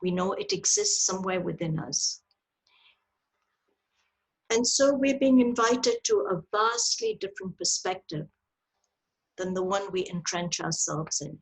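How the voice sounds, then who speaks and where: Slow, husky woman guiding meditation. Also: medium pitch, big pitch swings, poor audio. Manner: gentle, deliberate phrasing with long pauses. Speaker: meditation teacher leading a yoga nidra. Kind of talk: guided meditation. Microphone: video call. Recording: video call audio with clicks.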